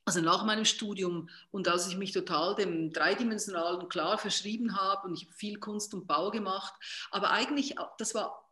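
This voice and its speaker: A Swiss-accented woman speaks as an artist being interviewed, in a medium-pitched voice. She thinks aloud, haltingly, circling back over her phrases as she searches for words. The room echoes clearly around her. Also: pushed and bright. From Switzerland. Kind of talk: reflective interview talk. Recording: roomy, echoing sound.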